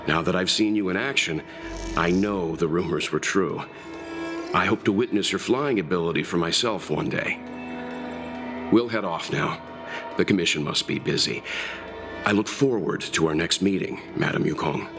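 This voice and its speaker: An actor playing a calm, scholarly gentleman in a video game, a patient advisor who speaks politely and deliberately. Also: deep voice. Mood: courteous, admiring. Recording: background music under the voice.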